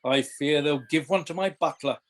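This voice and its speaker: A man's voice: on the high side, moderate pace, natural voice. Grumpy old playwright, acted by a man. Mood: indignant, wry. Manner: indignant, pompous, dryly witty. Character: grumpy seventy-five-year-old Victorian playwright, curmudgeonly and wry. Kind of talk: radio play acting.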